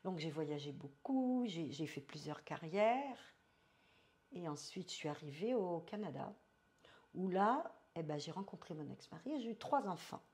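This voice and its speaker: A woman in her seventies from France, telling her life story as an interview guest, slow and thoughtful, slipping in English phrases. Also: lowish voice, lively intonation, darkish tone.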